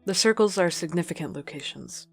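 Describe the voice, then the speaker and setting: A woman's voice: on the low side, lively intonation, fairly quick, vocal fry, faint music behind. A woman playing a stern, guarded captain of explorers in an audio drama, with a terse, commanding way of talking.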